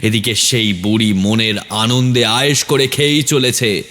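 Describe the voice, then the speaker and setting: A man's voice: mid-range voice, steady tone, measured pace, sharp tone. Dramatic male horror narrator. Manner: dramatic, suspenseful. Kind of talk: scripted horror story narration.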